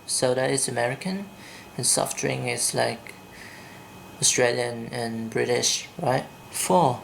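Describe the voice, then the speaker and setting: A man from Vietnam with a Vietnamese accent, talking slowly and deliberately in a home video blog, blunt when he gives his opinions. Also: mid-range voice, vocal fry, a little hiss or hum, rough recording. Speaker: vlogger. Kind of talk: casual video blog, reading a quiz and ranting. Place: indoors at home at night, kept quiet.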